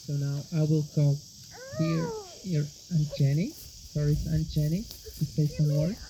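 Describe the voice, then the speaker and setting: A man from Portugal with a Portuguese accent, speaking Portuguese natively and giving an earnest, halting wedding speech as officiant. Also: on the high side, wildly swooping pitch, background noise. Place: outdoor wedding. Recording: dull sound, loud outdoor crickets.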